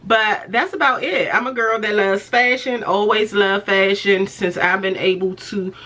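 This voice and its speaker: Chatty woman in her forties, a little raspy. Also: mid-range voice, fairly even intonation, measured pace, sharp tone. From Washington DC area. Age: 40s. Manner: chatty, affectionate, many fillers, laughs often. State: slightly raspy and stuffy after illness. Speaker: fashion vlogger. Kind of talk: casual talk to camera.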